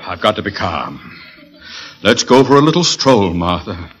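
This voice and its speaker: A man's voice: lowish voice, sing-song, moderate pace, breathy edge. A man playing a grumpy, blustering middle-aged husband in an old radio comedy, exasperated and sardonic. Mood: strained, exasperated. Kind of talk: 1950s radio comedy acting. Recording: old radio recording, faint noise.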